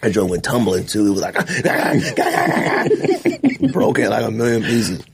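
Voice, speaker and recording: A man co-hosting a podcast, teasing his friends in loose banter and laughing loudly. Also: takes it slowly, creaky. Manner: teasing, laughs loudly, lively swings in pitch. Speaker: podcast co-host. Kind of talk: casual banter.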